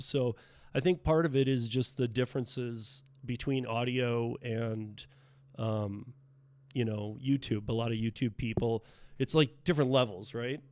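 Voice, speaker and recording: A man with a mid-pitched voice, a bit hoarse, hosting a casual solo podcast. He rambles, restarts his sentences and drops plenty of ums. The sound is close and dry, without room echo. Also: darkish tone. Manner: rambling, many fillers and restarts. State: losing his voice a little. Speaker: podcast host. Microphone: podcast microphone.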